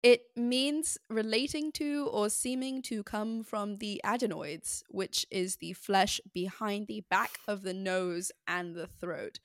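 A woman's voice: mid-range voice, takes it slowly, few pauses, dry recording, studio quality. A young woman co-hosting a podcast, chatty and curious, with lots of likes and ums. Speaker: podcast co-host. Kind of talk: casual chat.

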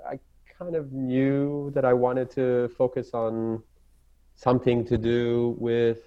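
A man from Israel with an Israeli accent, English not his native tongue, talking casually as a guest and often pausing to search for words. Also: medium pitch, flat delivery, clean tone, dull audio. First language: Hebrew. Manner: halting, searching for words, many fillers and restarts. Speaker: ecologist guest. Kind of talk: casual interview talk.

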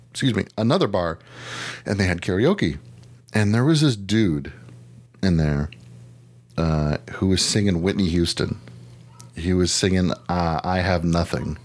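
Low-pitched man, podcast co-host, casual chat, rambling, slangy, swears casually. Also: darkish tone.

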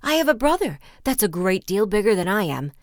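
A woman's voice: medium pitch, sing-song, brisk, no echo, studio quality, lightly acted. A woman voicing a middle-sized male billy goat in a children's story, anxious and earnestly pleading. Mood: frightened pleading.

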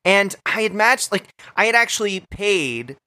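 Male podcast co-host, bright, forward voice, mock-macho deadpan storyteller, dry close mic. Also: sits high, unhurried, no echo. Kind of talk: deadpan comedic podcast banter.

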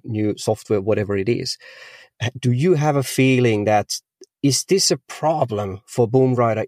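A man's voice: mid-range voice, a little mellow, no room sound, studio quality. A man from Austria hosting an interview, asking long questions hesitantly with many fillers and restarts. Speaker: interviewer, host.

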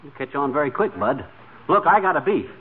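A gruff, blustering husband. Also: male voice, fairly high pitch, very animated, brisk. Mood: grumbling. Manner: brash, folksy slang. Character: blustering middle-aged husband, gruff, quick to complain. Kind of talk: 1940s radio comedy acting. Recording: tinny old radio broadcast with hiss.

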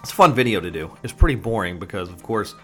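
A man's voice: on the low side, big pitch swings, quick pace, faint music behind. Sarcastic rambling male podcaster. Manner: joking, sarcastic, tangent-prone, crude humor; chewing while talking. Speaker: podcast host. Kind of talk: rambling solo podcast monologue.

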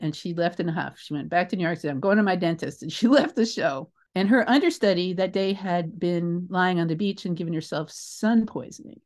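Older female interview guest, slightly low-pitched, emphatic raconteur who wanders into asides. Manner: expressive, emphatic, rambling with asides. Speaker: guest, theatre creator. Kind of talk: animated anecdotal storytelling in an interview.

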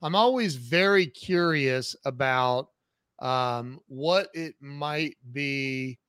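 Slow, halting male podcast host. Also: mid-range voice, fairly bright tone, clear, resonant tone, no room sound, clean studio sound. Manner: halting, repeats words, slow. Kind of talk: interview chat.

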